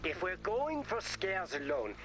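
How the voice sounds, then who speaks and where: A man playing an aloof, fearless, self-centred character with a deadpan, haughty manner in comedic game dialogue. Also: high voice, unhurried, background noise. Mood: smug.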